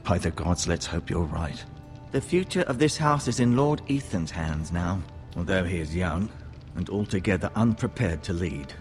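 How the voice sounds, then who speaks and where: A castle maester in a fantasy game, a grave middle-aged man with a lowish voice. He speaks formally and in measured phrases, musing aloud and passing judgment as he works. Music plays faintly underneath. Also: animated. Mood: somber. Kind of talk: fantasy video game voice acting.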